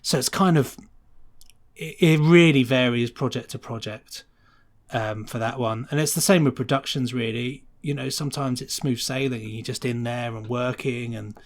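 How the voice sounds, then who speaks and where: A man's voice: medium pitch, moderate pace. British man explaining his craft. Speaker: guest sound designer being interviewed. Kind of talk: casual podcast interview, explaining work process.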